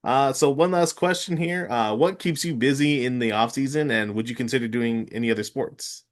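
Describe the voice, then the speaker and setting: A man's voice: mid-range voice, moderate pace. A man hosting a sports podcast, chatting casually and agreeing with his guest. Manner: supportive, agreeable, short affirmations. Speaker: podcast host.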